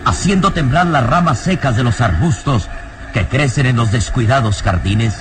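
A man's voice: mid-range voice, lively intonation, normal speed, noisy background. A man narrating a radio drama in a solemn, theatrical style. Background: music.

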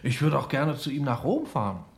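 A man's voice: mid-range voice, very animated, brisk, gravelly. An actor in a German radio play as a young con man, chatty and solicitous with a nervously jocular edge.

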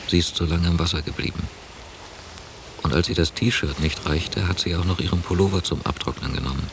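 An actor narrating a radio drama as a traveler, measured and matter-of-fact in the first person. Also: male voice, rumbling low. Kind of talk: scripted present-tense radio drama narration. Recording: noisy cassette tape of radio play.

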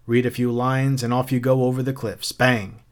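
A man's voice: mid-range voice, little pitch movement, moderate pace, close to an everyday voice. A man voicing a smug, taunting fire captain in a story, mocking and theatrical as he spouts literary quotations. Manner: theatrical, mocking, quote-laden speech.

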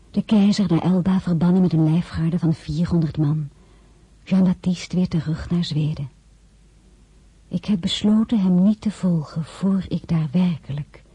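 A wistful woman looking back on her life in a radio drama. Her voice is very husky and breathy, with a mellow softness. She narrates intimately and reflectively, in a dry studio sound. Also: on the low side, dry recording. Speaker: lead actress and first-person narrator.

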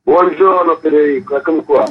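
A man with a raspy, creaky voice explaining his work as a curator being interviewed. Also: on the high side, a little fast. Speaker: photography exhibition curator interviewed as guest. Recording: dull, lo-fi line.

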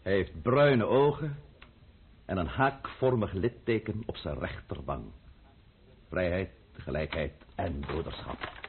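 Silky, scheming count on thin radio. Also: male voice, deep voice, animated, slight background noise. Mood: menacing, commanding. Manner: silky, mockingly polite, deliberate. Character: cunning, menacing aristocratic count, coolly sardonic schemer. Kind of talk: Dutch radio play acting. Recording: thin, phone-like old radio play recording.

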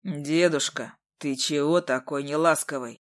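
A woman's voice: deep voice, fairly even intonation, measured pace, pitched below the performer's own voice. A woman voicing a mocking, icy male demon in an audiobook.

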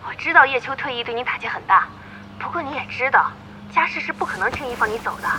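An actress playing an apologetic, cautious team manager persuading someone on the phone in a Chinese audio drama. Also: female voice, sits high, lively intonation, quick pace, bright, forceful tone, slight background noise, poor audio.

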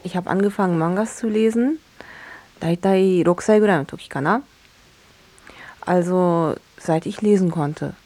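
A woman's voice: on the low side, normal speed, long pauses, mellow. A woman from Japan, speaking in a radio feature and slipping between two languages. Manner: mixes Japanese phrases into German.

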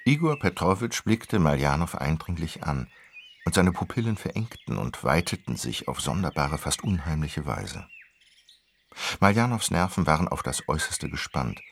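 A very deep male narrator with a creaky, gravelly edge delivers dramatic literary prose for a radio play. His intonation moves widely, over faint background noise. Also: sing-song, normal speed.

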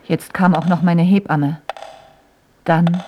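A woman's voice: fairly low pitch, steady tone, slow pace, highs cut off, slight background noise. A woman playing a blunt, exasperated young adult daughter smothered by her father, in radio drama dialogue and narration. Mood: wry, narrating. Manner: curt, blunt. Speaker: actress.

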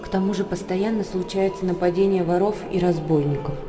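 An actress playing a grave, mournful narrator in a radio drama, with a measured literary delivery. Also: female voice, on the low side, steady tone, over music, natural voice. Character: mournful female narrator recounting human misery.

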